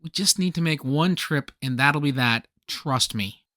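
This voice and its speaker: A man's voice: on the high side, unhurried, sharp tone, no room sound, studio quality. An actor in a comic radio play playing a stubborn, boastful young man with a grumbling, defensive, wry manner.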